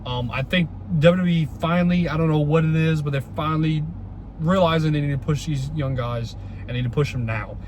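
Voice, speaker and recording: A young man with a cold and a congested voice, giving casual wrestling opinions in an excitable vlog, repeating his questions and using many fillers. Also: a little high, a little fast, slight background noise. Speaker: wrestling vlogger.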